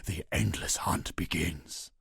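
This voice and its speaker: A gravelly, brooding wolf king. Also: sits low, fairly bright tone, no room sound, clean studio sound, heavily acted. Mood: brooding, determined. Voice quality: gravelly, husky. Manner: solemn, slow, incantatory declamation. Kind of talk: dramatic video game monologue.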